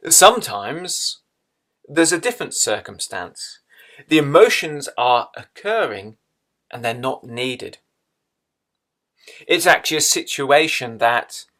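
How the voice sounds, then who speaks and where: A man leading a guided meditation in a measured way, leaving long pauses between phrases. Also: on the high side, fairly bright tone.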